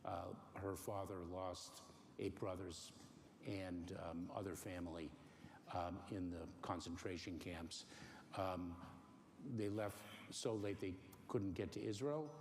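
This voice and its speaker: Deep-voiced man, halting careful answers. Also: fairly even intonation, a little mellow. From Cuba. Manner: careful, halting, many ums and pauses. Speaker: interview guest. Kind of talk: measured interview answers. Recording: faint noise in a long-reverb room.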